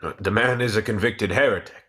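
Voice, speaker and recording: A man's voice: lowish voice, a little fast, bright, forceful tone. A man playing a sceptical, deferential commander with clipped replies in a radio play reading. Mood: suspicious. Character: sceptical, deferential commander, cold and suspicious subordinate officer. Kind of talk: radio play cold read.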